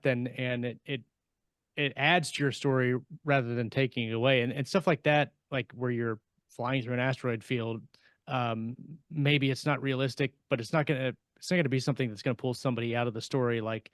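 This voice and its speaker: A man talks casually as a podcast co-host, working through his thoughts in a halting way. His pitch sits in the middle, and the close, dry sound has little room in it. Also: no echo. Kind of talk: casual panel discussion.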